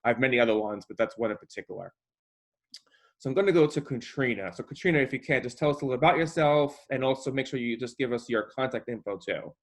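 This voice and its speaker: A man's voice: medium pitch, quick pace. A man hosting a webinar panel over a video call, hesitant with a slight stutter and many fillers. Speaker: host and moderator. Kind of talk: casual webinar moderation.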